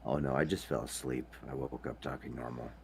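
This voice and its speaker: A man's voice: extremely low pitch, fairly even intonation, fairly quick, creaky, slight background noise. A man with a Scottish accent hosting a casual chat podcast, who slips into accents as he talks. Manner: slips into accents while talking. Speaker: podcast host.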